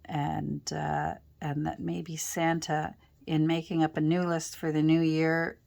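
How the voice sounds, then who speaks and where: A woman with a stuffy, congested cold, chatting casually while she crafts, rambling softly and often dropping into a whisper. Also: sits low, flat delivery, slow pace, creaky. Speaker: journal-making crafter. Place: indoors at a craft worktable.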